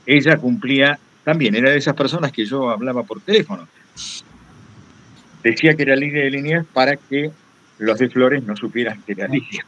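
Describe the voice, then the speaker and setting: Animated Argentine man telling anecdotes. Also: mid-range voice, husky, low-quality recording. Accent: Buenos Aires Argentine Spanish. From Buenos Aires, Argentina. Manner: digressive, self-correcting. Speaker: interview guest, radio host. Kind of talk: anecdotal storytelling in a public talk.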